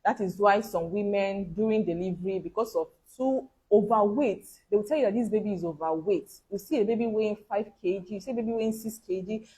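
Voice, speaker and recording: A woman's voice: mid-range voice, a little fast, few pauses, dark tone, husky, poor audio. A woman giving an instructional health talk on fertility, repeating key points and checking in with listeners.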